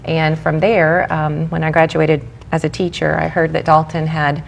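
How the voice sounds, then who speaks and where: A woman's voice: sits low, flat delivery, moderate pace, gravelly. A woman in her forties, a program director from Mexico, answering interview questions earnestly and enthusiastically. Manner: earnest, enthusiastic, with filler ums. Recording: faint background noise.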